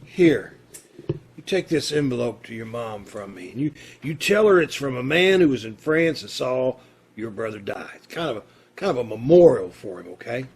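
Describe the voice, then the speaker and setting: A man acting a kindly, earnest condemned prisoner in an old-time radio play, with hesitant, folksy phrasing and a gravelly, husky voice. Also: mid-range voice, lively intonation, a little mellow. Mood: tender, subdued. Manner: hesitant, halting, folksy and informal.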